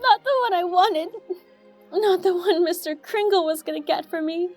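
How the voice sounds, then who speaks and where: A woman's voice: very high-pitched, moderate pace, a little mellow, soft background music. A woman playing an earnest, plaintive little girl in a radio play, with a childlike manner. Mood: disappointed, doubting. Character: little girl, doubting then earnestly hopeful daughter.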